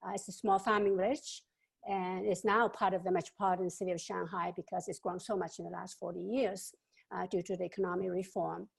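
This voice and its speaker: A woman, giving an interview answer about her life. She speaks haltingly, with many fillers and repeated words. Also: on the low side, flat delivery, creaky, breathy edge. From China. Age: 70s.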